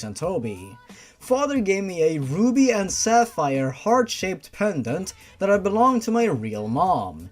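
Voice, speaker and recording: A narrator reading a first-person fan fiction story in an expressive, informal way. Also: medium pitch. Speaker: story reader. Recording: music behind the voice. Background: music.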